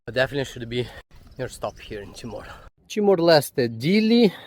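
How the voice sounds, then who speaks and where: A man's voice: medium pitch, very animated, normal speed, darkish tone. A man from Brazil with a Brazilian accent, talking casually to locals and to the camera while walking through a city. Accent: Brazilian Portuguese. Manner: chatty, asks locals simple questions. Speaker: travel vlogger. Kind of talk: casual travel vlog narration. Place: outdoors on city streets. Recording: outdoor street noise. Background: street and traffic noise.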